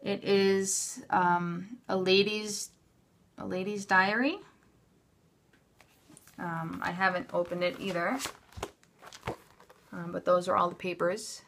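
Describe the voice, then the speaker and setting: A woman's voice: on the low side, level tone, slow pace, gravelly, pauses often, fairly bright tone, slight background noise. A woman talking casually and off the cuff, chatty and offhand, often interrupting herself as she shows items. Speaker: craft haul vlogger. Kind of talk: casual unscripted show-and-tell. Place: indoors at a craft table.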